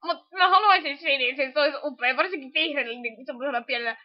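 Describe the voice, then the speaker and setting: A woman improvises comic lines for an amateur cartoon fandub. Her voice sits high. The recording is dull and homemade. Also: some chatter behind.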